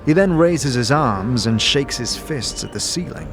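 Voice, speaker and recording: A man's voice: medium pitch, lively intonation, unhurried. A man reading scripted second-person fantasy narration as the narrator of an audio drama. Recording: music under the voice.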